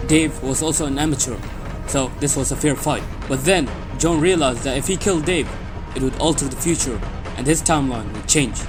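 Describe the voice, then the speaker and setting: A man reading a scripted story as its narrator. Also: on the high side. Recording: background music under the voice. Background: music bed.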